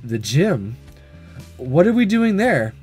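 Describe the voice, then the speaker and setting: A let's player voicing a concerned high school student in a visual novel, plain and questioning, over game music. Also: sing-song, slow pace, darkish tone, slightly rough, full character voice. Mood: puzzled.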